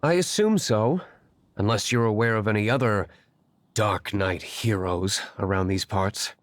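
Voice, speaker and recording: An actor playing an aloof, brooding young aristocratic vigilante in a video game, formal, curt and dry. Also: male voice, fairly low pitch, measured pace, natural voice. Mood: cool, disdainful. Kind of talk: video game character acting.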